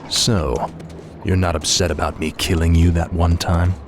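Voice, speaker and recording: An actor playing a cold, arrogant young prince turned death knight in a video game, menacing and imperious. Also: male voice, deep voice, little pitch movement, measured pace, noisy background. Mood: questioning. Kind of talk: video game cutscene acting.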